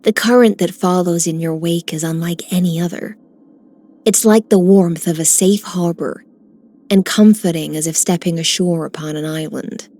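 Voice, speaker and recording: A woman's voice: fairly low pitch, fairly even intonation, normal speed, dark tone, close to an everyday voice. An actress playing a poised, gracious woman in a fantasy video game, speaking elegantly and poetically. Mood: fond, inviting. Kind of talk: scripted game character voice lines.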